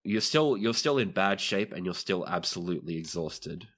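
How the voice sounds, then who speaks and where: Male game master, low-pitched, for narrating tabletop roleplaying scenes. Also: expressive, normal speed, fairly bright tone.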